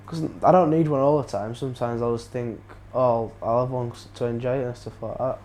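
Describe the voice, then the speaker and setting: A man's voice: medium pitch, fairly even intonation, fairly quick, a little mellow. A teenage man, interviewed, talking casually and hesitantly. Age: teens.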